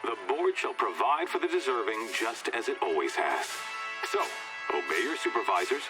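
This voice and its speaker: An actor playing a pompous male corporate chairman who delivers smooth announcements and snaps into profane outbursts. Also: lowish voice, big pitch swings, normal speed. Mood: reassuring. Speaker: corporate chairman addressing the colony. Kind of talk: game voice acting with outtakes. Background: game music.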